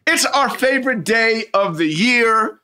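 An exuberant man with a high, bright voice banters as a podcast co-host on a video call. He is playful and likes to repeat his phrases. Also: animated, unhurried.

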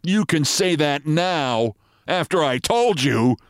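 A man puts on a peevish middle-aged husband in a comic storybook reading. He is stubborn and defensive, with a fairly high male voice that rises and falls a lot, recorded very dry. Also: expressive, takes it slowly, dry recording. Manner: defensive, petulant, indignant.

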